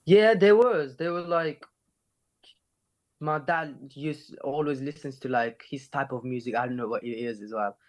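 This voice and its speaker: A man's voice: medium pitch, a little slow. A man from Sweden, a rapper and singer being interviewed, chatting casually with plenty of slang filler. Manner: slangy, with frequent "innit" and "you know". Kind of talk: casual chat.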